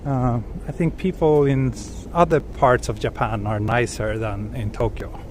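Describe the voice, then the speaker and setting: A Swedish man hosting a live walking stream outdoors, reading viewer comments and answering them in a soft-spoken, hesitant way with many fillers. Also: medium pitch, steady tone, unhurried. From Sweden. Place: outdoors on quiet city streets, walking. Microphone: handheld gimbal camera with small recorder. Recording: street and traffic noise behind.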